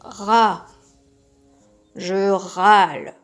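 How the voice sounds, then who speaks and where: A woman voicing a grumpy male faucet with a cold and a blocked nose, grumbling slowly and loudly in a children's learning video. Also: medium pitch, fairly even intonation, soft background music.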